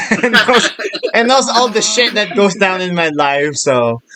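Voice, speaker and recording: A man's voice: sits high, animated, measured pace, crowd noise. A man hosting a podcast in casual chat, chatty and self-deprecating, laughing often. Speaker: podcast host.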